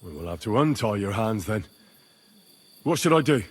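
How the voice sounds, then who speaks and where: A young medieval squire from a video game. His voice is husky and moves freely up and down. He comes across as earnest and polite, plain-spoken and set on his purpose. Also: fairly low pitch, expressive, moderate pace. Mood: determined. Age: young adult. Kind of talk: video game cutscene acting.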